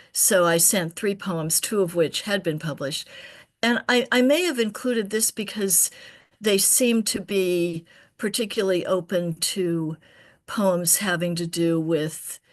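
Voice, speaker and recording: A woman with a slightly low voice talks informally at a poetry reading. She wanders through her anecdote, breaking off phrases and starting them again. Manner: halting, digressive, often restarting mid-phrase. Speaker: poet at an open reading. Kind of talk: casual, rambling anecdote.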